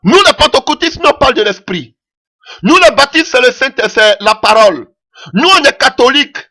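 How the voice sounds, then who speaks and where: A man's voice: very high-pitched, lively intonation, normal speed, pushed and bright. A man preaching a sermon in French that is not his mother tongue, impassioned and punctuating his points with "Alléluia".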